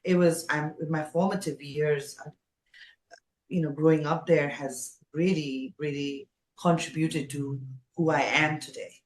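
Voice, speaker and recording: A woman's voice: sits low, steady tone, measured pace. Effusive woman in an echoey room. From Nepal. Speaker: podcast guest, former radio jockey. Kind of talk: casual conversational interview. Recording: roomy, echoey sound.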